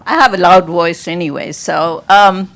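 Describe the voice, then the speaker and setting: A middle-aged woman answering as a panelist, speaking in a measured, thoughtful way with some fillers. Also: lowish voice, vocal fry, a bit bright. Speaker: panelist, survey program director.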